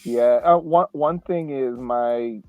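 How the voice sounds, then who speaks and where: A man co-hosting a podcast, talking in a measured way with frequent restarts as he builds his point. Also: mid-range voice, mellow, no room sound, faint music behind. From Haitian family. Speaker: podcast co-host. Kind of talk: casual podcast chat. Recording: tinny phone-like line.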